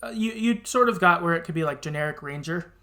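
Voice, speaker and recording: A man's voice: on the high side, animated, fast, pushed and bright. A man co-hosting a podcast, talking casually and reflectively about video games in a conversational way. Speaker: podcast co-host. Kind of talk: casual video game discussion.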